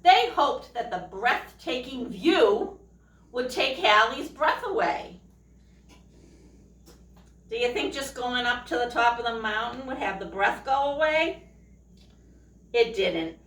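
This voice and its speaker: A woman reading a children's picture book aloud expressively, pausing to put questions to young listeners. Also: a little high, animated, fairly bright tone. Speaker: storytime reader, teacher. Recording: echoey room, distant microphone.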